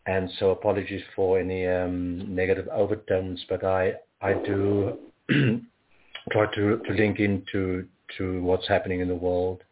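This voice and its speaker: A man from Africa, reading his poems aloud in a measured, rhythmic way. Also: low-pitched, flat delivery, slight background noise. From South Africa. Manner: measured, rhythmic recitation with pauses. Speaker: poet. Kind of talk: poetry reading. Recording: tinny phone-like audio.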